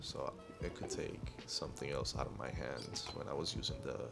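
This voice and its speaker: A man with a deep voice narrating his own vlog in a laid-back, rambling voiceover. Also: fairly even intonation, moderate pace, gravelly, over loud music. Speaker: vlogger. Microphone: clip-on wireless mic.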